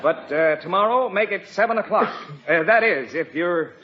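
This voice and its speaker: A blustery, indecisive American dad who stammers and hesitates. His slightly high voice swings widely in pitch. It is a muffled old radio comedy recording. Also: expressive, normal speed, reverberant, very noisy. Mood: flustered. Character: flustered, indecisive American family father. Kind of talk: 1950s radio comedy acting.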